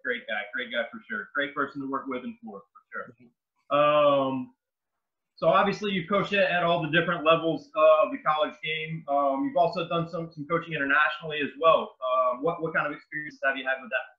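Host, man, thirties, slightly high voice, dull lo-fi video-call sound, friendly stop-start questions. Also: steady tone. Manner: friendly, affirming, halting phrasing. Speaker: interview host. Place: indoor room. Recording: dull lo-fi webcam audio.

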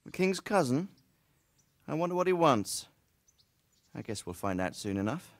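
A middle-aged man voicing a medieval blacksmith father in a game, speaking in a gentle, reassuring way. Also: mid-range voice, very animated, normal speed, a little hiss or hum. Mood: cheerful.

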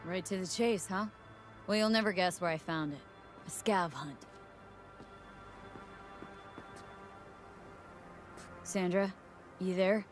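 A woman's voice: fairly low pitch, moderate pace, soft background music, close to an everyday voice. A woman playing a tough, wry young mercenary in a video game, talking in casual slang with a guarded edge. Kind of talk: naturalistic video game voice acting.

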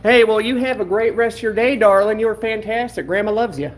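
A man's voice: high-pitched, brisk, a little hiss or hum, natural voice. A man putting on a meddling, syrupy old grandma persona for a livestream, calling everyone darling and speaking of himself as Grandma. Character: meddling sugary old grandma, elderly woman. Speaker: livestreamer filming public buildings. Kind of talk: trolling livestream banter. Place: indoor public building. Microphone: handheld phone camera.

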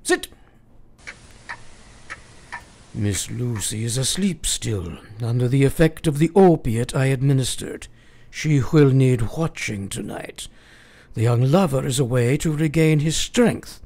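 A man's voice: medium pitch, animated, a little slow, mellow. A man playing an eccentric, commanding professor in a radio play, speaking in an earnest, formal and emphatic way. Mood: commanding, grave. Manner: emphatic, formal, oddly phrased, counselling.

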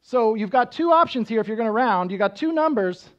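Pastor guy in his 30s preaching on a church stage, highish voice, keeps saying right?, kinda muffled sound. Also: expressive, brisk. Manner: conversational teaching, frequent "right?" check-ins; walks around the stage gesturing. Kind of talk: sermon. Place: church stage with band instruments.

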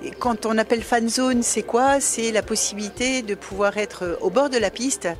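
A woman in her fifties, an event organizer, giving an outdoor interview into a handheld mic, measured and halting with frequent hesitations. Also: fairly high pitch, steady tone, over music. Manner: measured, many hesitations and restarts. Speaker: organizing committee director. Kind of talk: on-camera interview.

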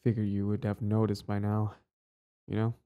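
A man's voice: fairly low pitch, monotone, moderate pace, phone-quality sound, natural voice. A young man acting a possessive, lovestruck bully in an intimate boyfriend audio roleplay, speaking earnestly with hesitant stammers. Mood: tender, confessing.

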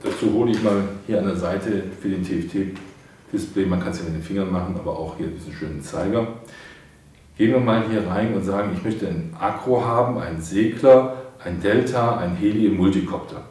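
A middle-aged man with a slightly low voice talks hobbyists through a gadget in an informal, step-by-step way. He sits at a desk in a studio, heard from a camera mic set back from him. The room is very echoey. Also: brisk, darkish tone, recorded from a distance. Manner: informal, explains step by step to viewers. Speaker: product reviewer. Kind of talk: casual product demo for hobbyists. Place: indoor studio desk with painted backdrop.

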